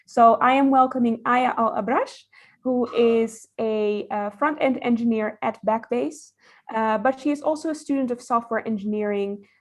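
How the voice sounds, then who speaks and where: Woman hosting with frequent hesitations. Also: fairly high pitch. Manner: hesitant, many fillers, friendly and measured. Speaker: event host and interviewer. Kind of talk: hosting an online career event interview.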